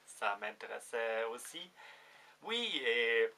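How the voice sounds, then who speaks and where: A young German man with a German accent talks to the camera in careful, unhurried French, stopping often to hunt for the right word. His voice sounds bright and clear, with plenty of hesitant fillers between phrases. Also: mid-range voice, sing-song. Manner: hesitant, searching for words, many fillers. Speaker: vlogger. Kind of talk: casual direct-to-camera vlog.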